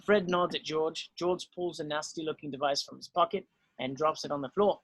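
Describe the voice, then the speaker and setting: A man's voice: on the high side, fairly quick, fairly bright tone, low-quality recording. A man narrating stage directions in an amateur screenplay table read.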